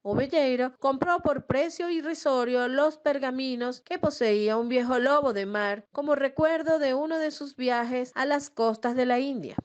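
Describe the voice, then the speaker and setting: A woman giving scripted documentary narration, reading an old press report in a measured, formal storytelling way. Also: sits high, muffled recording.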